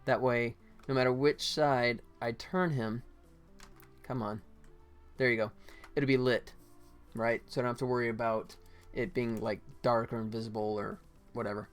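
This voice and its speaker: A man's voice: mid-range voice, steady tone, normal speed, long pauses. A man chatting casually on a livestream as he works, rambling and thinking aloud as he explains each step. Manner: rambling, thinking aloud, half-muttering to himself while working. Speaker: game developer streaming his work. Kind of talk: casual livestream tutorial and chat while working. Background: music behind the voice.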